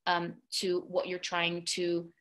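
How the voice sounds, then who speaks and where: A woman's voice: fairly low pitch, completely flat delivery, slow pace, fairly bright tone. A young woman presenting a webinar over a video call, talking casually with fillers and restarts. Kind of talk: webinar talk over slides. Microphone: video call webcam.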